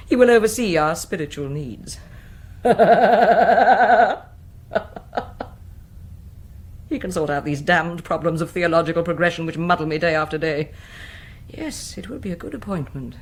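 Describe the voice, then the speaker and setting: A British woman playing an intense, brooding Mother Superior in a radio drama, moving between command and hush. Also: lowish voice. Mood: weary, agitated. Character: troubled, imperious Mother Superior of a convent.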